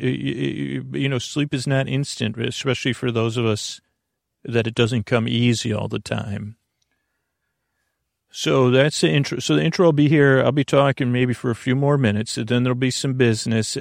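A man with a heavily creaky, medium-pitched voice hosts a bedtime podcast. He rambles and digresses, starting phrases over and running words together. The sound is dry, with no room around the voice. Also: no room sound. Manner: digressive, halting, repeats and smushes words. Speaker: podcast host.

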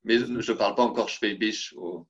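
Guest from France: low voice, halting delivery, muffled lo-fi recording. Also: male voice, steady tone. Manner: hesitant, many restarts. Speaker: interview guest. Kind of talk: casual interview talk.

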